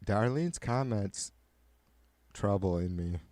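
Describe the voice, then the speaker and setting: Raspy, nasal, foul-mouthed man streaming. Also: lowish voice, animated, takes it slowly, a little mellow, no echo. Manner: profane, blunt, joking. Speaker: livestreamer. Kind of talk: casual rambling livestream chat.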